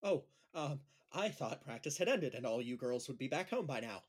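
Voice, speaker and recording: Awkward high school gym teacher played by a man, audio drama, raspy edge, very animated intonation, flustered and hesitant. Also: moderate pace. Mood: flustered, embarrassed. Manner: flustered, hesitant, over-explaining. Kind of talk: naturalistic audio drama acting.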